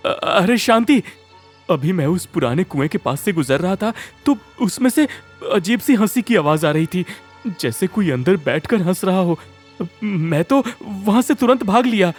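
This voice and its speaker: Man acting timid village husband, high swooping voice, stammering, hesitant, dramatic, cartoon folk tale. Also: lively intonation, a little slow, faint music behind. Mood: shaken, earnest. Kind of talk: animated Hindi story dialogue.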